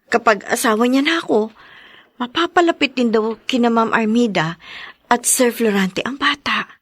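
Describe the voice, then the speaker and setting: A woman playing a respectful, sincere young single mother in an audio drama, soft-spoken and deferential. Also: on the high side, normal speed, no echo. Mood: meek, explaining. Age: young adult. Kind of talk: melodramatic radio-style drama acting.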